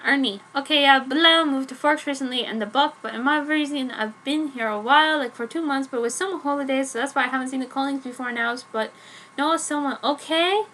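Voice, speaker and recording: A woman voicing an excitable, gossipy teenage girl, the heroine's chatty best friend in a story. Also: high-pitched, fairly quick, low-quality recording. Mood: chatty, excited. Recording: noticeable background noise.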